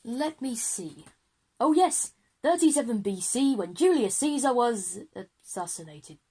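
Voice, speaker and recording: A woman's voice: on the high side, big pitch swings, a little slow, mellow, slightly rough, exaggerated voice. A woman voicing an eager, unflappable teacher with an explanatory manner, leading a time-travel lesson in a children's audio drama. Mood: flustered.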